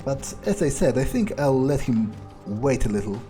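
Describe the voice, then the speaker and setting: Guy playing a smug vampire schemer in a game, mellow mid-pitched voice, musing to himself, bit of music behind. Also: sing-song, normal speed, close to an everyday voice. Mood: musing, disdainful. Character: smug, amused, callous male vampire schemer. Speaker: gamer playing in character. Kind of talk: improvised in-character video game roleplay.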